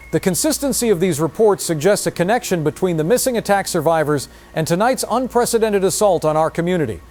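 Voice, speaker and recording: A man playing a grave local TV newsman, delivering a formal, measured emergency bulletin in a scripted horror audio drama. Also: high-pitched, a little mellow. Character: grave male local TV newsman reporting catastrophe. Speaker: TV news reporter.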